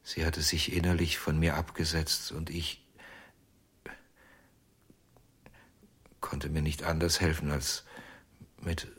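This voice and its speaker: Husky, deep-voiced, haunted ventriloquist. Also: male voice, little pitch movement, fairly bright tone, full character voice. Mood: halting, tormented. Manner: halting, haunted, breaking into near-whispers. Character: anguished, jealous male ventriloquist confessing to lost love. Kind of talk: German radio crime drama acting.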